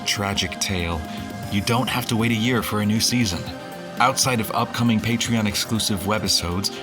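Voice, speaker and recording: A male creator-host reads a scripted outro to his audience in a fairly low voice. The delivery is earnest and appreciative, with music clearly playing underneath. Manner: earnest, grateful address to listeners. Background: music bed.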